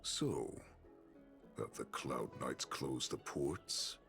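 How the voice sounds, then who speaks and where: A man voicing a menacing wolfish warlord villain in a video game, gravelly, taunting and deliberate, with breathy whispered threats. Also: very deep voice, expressive, fairly bright tone, faint music behind, exaggerated voice. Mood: menacing, gloating. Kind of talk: dramatic video game voice acting.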